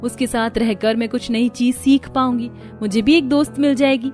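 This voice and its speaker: A woman voicing a meek, dutiful, sorrowful young daughter-in-law in a cartoon, soft-spoken and earnest. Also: fairly high pitch, fairly even intonation, moderate pace, faint music behind, lightly acted. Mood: gentle.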